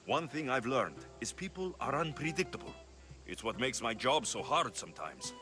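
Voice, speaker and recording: A man playing a tough, pragmatic Greek mercenary who answers with dry sarcasm. His mid-pitched voice moves with lively inflection. Game music swells behind the lines. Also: moderate pace, natural voice. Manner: dry, blunt, sardonic. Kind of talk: video game cutscene dialogue.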